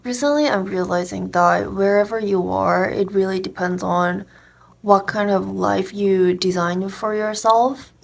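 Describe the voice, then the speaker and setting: A young woman from Korea, native speaker of Korean, talks straight to the camera in a casual solo vlog. She thinks out loud, restarts her sentences and fills them with likes, and her pitch jumps up when something excites her. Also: unhurried, vocal fry. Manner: chatty and reflective, many likes and restarts. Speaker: vlogger.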